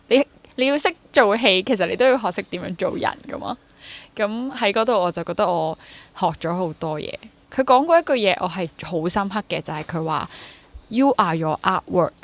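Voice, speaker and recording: A woman in her twenties with a Hong Kong Cantonese accent, talking casually and reflectively as an interview guest and mixing in English words. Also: on the low side. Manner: mixes English words into speech. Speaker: interview guest, stage actor and drama teacher.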